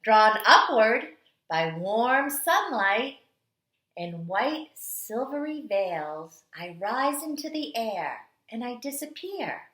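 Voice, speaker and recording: A woman kindergarten teacher reading aloud to young children with a dramatic, deliberate, expressive delivery. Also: on the high side. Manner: dramatic, deliberate delivery with strong swells and hushed endings. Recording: echoey, roomy sound.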